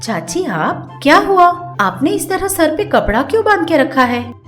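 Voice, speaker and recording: A nosy village woman from a cartoon tale, played by a woman, amused and acting surprised. Her high voice is very animated, over faint music. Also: a little slow. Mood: curious. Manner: feigned surprise, suppressed amusement. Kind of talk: animated Hindi story voice acting.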